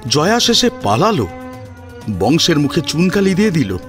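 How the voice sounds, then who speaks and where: A man narrating a scripted family drama in an expressive, suspenseful storytelling manner. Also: a little high, moderate pace, faint music behind.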